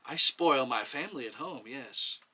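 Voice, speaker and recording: A man plays a meek woman medic under questioning in a story reading. The answers are quiet and hesitant, defensive yet plain-spoken. The tone is clear-edged and bright, with a lively rise and fall. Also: mid-range voice, normal speed, exaggerated voice. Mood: plainly explaining. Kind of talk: dramatic short story reading.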